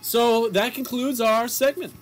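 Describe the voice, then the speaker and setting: A man's voice: very high voice, fairly even intonation, unhurried, low-quality recording. A young man co-hosting a radio show, chatting casually about movies with opinionated, jokey banter. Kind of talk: casual movie review chat. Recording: amateur recording with background noise.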